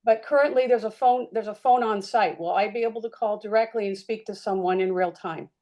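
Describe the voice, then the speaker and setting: A woman neighbor keeps pressing with follow-up questions at a meeting. Her voice is mellow and her tone is insistent. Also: mid-range voice, fairly quick.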